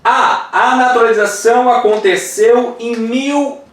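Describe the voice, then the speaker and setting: A man's voice: very high-pitched, fairly even intonation, unhurried, fairly bright tone, roomy sound. A man in his forties from Brazil, teaching an online course at a whiteboard and often checking that the viewer is following. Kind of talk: explaining at a whiteboard. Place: indoor room with a whiteboard.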